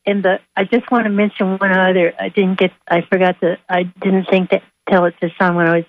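A woman calling in to a radio show over the phone, speaking off the cuff in a halting, hesitant way. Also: mid-range voice, level tone, no room sound. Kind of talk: unscripted call-in comment. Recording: tinny narrow phone line.

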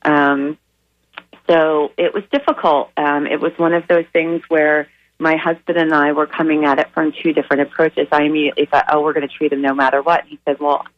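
Raspy, hoarse woman guest, earnest storyteller; dry, muffled phone sound. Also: low voice, flat delivery, a little fast, dry recording. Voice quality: raspy, slightly crackly. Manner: earnest, storytelling with many ums. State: hoarse from a weekend of events. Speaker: guest, animal charity founder. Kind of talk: radio interview.

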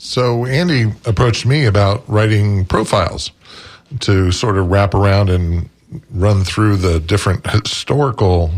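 Male co-author guest, deep pitch, casual conversational delivery. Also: unhurried. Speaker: co-author guest, profile writer. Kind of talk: casual interview talk.

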